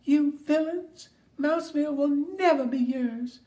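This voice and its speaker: A man reading an audiobook, voicing a panicked little cartoon townsmouse in a high, thin voice. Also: unhurried, dark tone. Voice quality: high, thin, set back from the mic.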